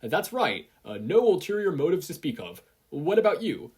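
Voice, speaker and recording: A narrator voicing an earnest, excitable teenage boy hero in training, eager, polite and hesitant. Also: mid-range voice, moderate pace, breathy edge, voice pushed up. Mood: nervous.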